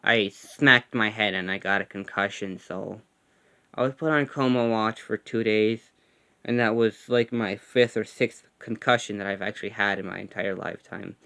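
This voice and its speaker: A man's voice: on the low side, fairly even intonation, vocal fry, pushed and bright, clean tone. Young man with a slight lisp. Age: young adult. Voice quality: slight lisp from a gap in his front teeth. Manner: halting, stammers at times. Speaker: vlogger. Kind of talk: casual chat.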